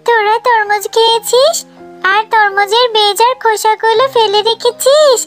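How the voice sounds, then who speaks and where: A woman's voice: extremely high pitch, steady tone, normal speed, loud music behind the voice. A woman voicing an eager, innocent little jackal girl in a children's cartoon, with a childlike, plaintive manner. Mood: eager, curious. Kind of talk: animated children's cartoon dub.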